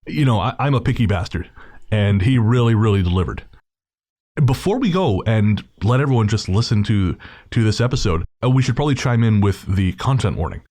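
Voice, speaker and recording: A man's voice: on the low side, expressive, fairly quick, a little mellow. A man hosting a podcast, casually introducing an episode and speaking to listeners in an informal, slangy way. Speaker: podcast host.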